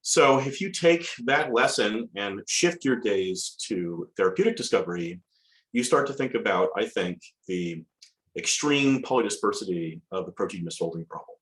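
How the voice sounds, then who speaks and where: A man with a cold, sounding raspy and a bit hoarse, giving a measured academic lecture over a video call, with frequent ums and restarts. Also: on the low side, lively intonation, a bit bright, rough recording. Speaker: guest lecturer.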